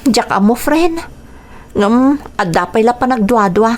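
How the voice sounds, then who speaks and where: A woman's voice: a little high, lively intonation, a little slow, noisy background. A young woman voicing an anxious young mother in a radio drama, speaking with hesitant unease. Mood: uneasy. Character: young mother, anxious about her strict father.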